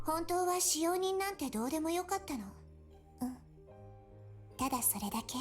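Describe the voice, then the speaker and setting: Enigmatic anime-game girl, high and quick, soft, faintly wistful. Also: animated, faint music behind. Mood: wistful, quiet. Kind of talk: Japanese visual novel voice acting.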